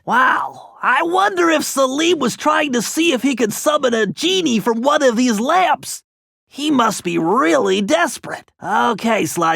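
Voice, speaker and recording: A man's voice: very high-pitched, gravelly, a bit bright, husky, no room sound, heavily acted. An actor playing a brainy, anxious, nerdy male turtle tech whiz in a video game, with a nasal voice and a precise, pedantic, technical way of talking. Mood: focused, analytical.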